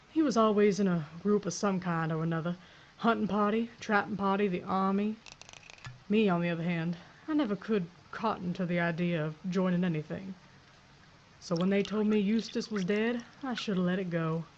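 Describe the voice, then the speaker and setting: An actress narrating in the first person as a hard-bitten Old West sheriff, with a measured, reflective frontier drawl. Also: female voice, medium pitch, darkish tone, dull audio, slight background noise, close to an everyday voice. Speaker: narrator and protagonist.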